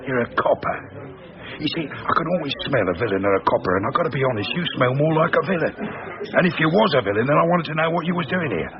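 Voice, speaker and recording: An actor playing a cocky, menacing villain in a radio drama, with a working-class English accent and a needling, falsely friendly manner. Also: male voice, fairly high pitch, animated, fast talker, noisy background. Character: cocky menacing male villain, mockingly matey, streetwise. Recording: muffled radio drama, pub crowd behind.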